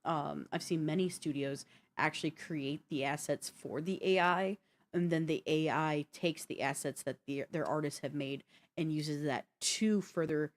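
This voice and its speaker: A woman with a slightly low voice co-hosts a gaming show. She chats casually and works through explanations in a rambling way, often stepping aside to add detail. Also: steady tone, a little slow. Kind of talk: casual explanatory chat about video games.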